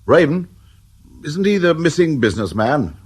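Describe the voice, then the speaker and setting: A huffy, husky aristocrat. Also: male voice, a little high, sing-song, moderate pace. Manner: indignant, upper-class, flustered. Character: defensive aristocratic male lord, haughty. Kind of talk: radio drama acting.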